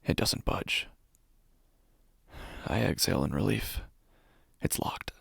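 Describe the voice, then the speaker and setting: A man performing first-person horror narration as an uneasy city dweller, in hushed, deliberate, short phrases. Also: low voice, lively intonation, vocal fry, breathy edge, dry recording, full character voice. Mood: tense, subdued. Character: uneasy city dweller recounting a creepy homecoming. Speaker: narrator of a horror fiction podcast.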